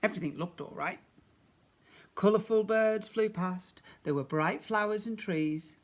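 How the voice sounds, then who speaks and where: A man's voice: sits high, unhurried. Man reading a children's story aloud. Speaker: primary school teacher.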